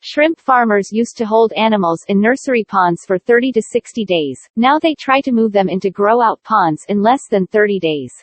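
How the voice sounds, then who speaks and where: A woman reading scripted practice passages aloud in a measured, carefully phrased way. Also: medium pitch, lively intonation, dry recording. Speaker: reader of exam practice passages. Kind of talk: scripted read-aloud narration.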